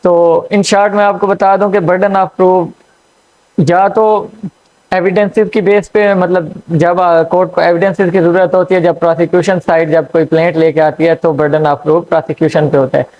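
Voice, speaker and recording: A man giving a law lecture, explaining slowly with frequent fillers and checks. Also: sits high, flat delivery, a little mellow. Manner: hesitant, frequent fillers and checks "theek hai". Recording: dull lo-fi recording.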